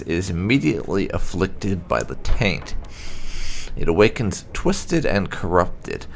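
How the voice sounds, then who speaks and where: A man reading game lore aloud as scripted narration, in a measured, dramatic storytelling way. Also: deep voice, vocal fry, slight background noise. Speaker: gaming video host. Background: background music.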